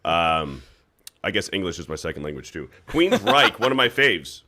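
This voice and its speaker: Bright-voiced lower male livestream host. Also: sing-song, moderate pace. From United States. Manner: loose, swearing, reads donations aloud. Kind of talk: casual livestream chat, reading super chats.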